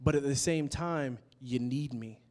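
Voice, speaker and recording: A young man preaching a casual, animated sermon, joking with his listeners as he explains a word from the Bible. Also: mid-range voice, unhurried. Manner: conversational and animated, jokes with the crowd.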